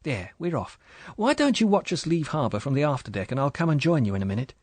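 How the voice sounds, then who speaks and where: A man voicing a young, pretty English wife who talks in a soft, chatty, apologetic way. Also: fairly high pitch, very animated, fast talker, no room sound, voice pushed up. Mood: eager.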